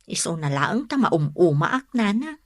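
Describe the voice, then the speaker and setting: A woman's voice: on the low side, big pitch swings, moderate pace, a little mellow, no echo, studio quality. An actress playing a young office woman who is weary of her devoted boyfriend, in an Ilocano radio drama.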